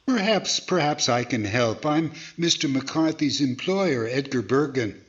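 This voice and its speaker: A man's voice: medium pitch, animated, a little slow, highs cut off, lightly acted. A man playing a polite, slightly hesitant straight man in a 1940s-style radio comedy. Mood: placating. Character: mild, placating straight-man ventriloquist, adult male employer.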